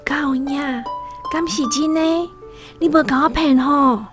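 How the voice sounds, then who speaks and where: A woman's voice: high voice, fairly even intonation, a little slow, over music. A woman with a Taiwanese accent playing a shy, sweet young opera actress in a radio drama. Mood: tender. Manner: bashful, girlish.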